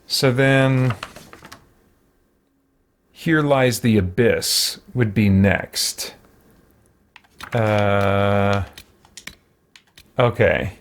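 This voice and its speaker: A man doing casual let's play commentary as a streamer, musing and thinking out loud. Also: lowish voice, very slow, long pauses. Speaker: gaming streamer.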